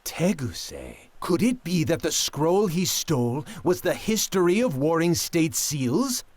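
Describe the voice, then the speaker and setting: A man playing an analytical young ninja in an anime game cutscene, with formal, articulate, deliberate phrasing. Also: on the high side, very animated, darkish tone. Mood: intrigued, analytical. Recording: music under the dialogue.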